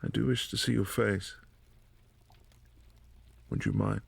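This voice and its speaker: A man playing a possessive, imperious vampire prince in a boyfriend ASMR roleplay, speaking in a formal, commanding, softly menacing way. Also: lowish voice, steady tone, slow pace, vocal fry, husky, dry recording, exaggerated voice. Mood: cool, imperious.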